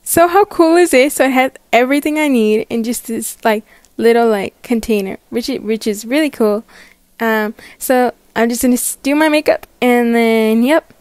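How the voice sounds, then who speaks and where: High, clear-voiced young woman. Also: moderate pace, darkish tone, no room sound. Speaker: story channel creator voicing a character. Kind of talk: voiced roleplay vlog, casual chat.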